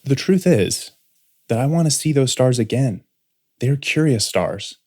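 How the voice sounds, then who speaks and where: A man's voice: medium pitch, expressive, a little slow, dark tone. A man acts out a grown son in a science fiction audiobook. He is restless and rebellious, pressing his case with earnest intensity, his intonation rising and falling widely. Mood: determined. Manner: insistent, intense, pleading. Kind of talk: full-cast audiobook acting.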